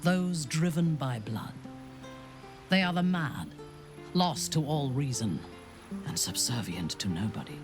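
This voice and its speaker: Game role: ruthless drow commander, cold menace, swooping intonation, music underneath. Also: female voice, deep voice, expressive, a little slow, long pauses. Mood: cold, imperious. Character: cold, ruthless female drow warrior, imperious. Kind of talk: video game voice acting.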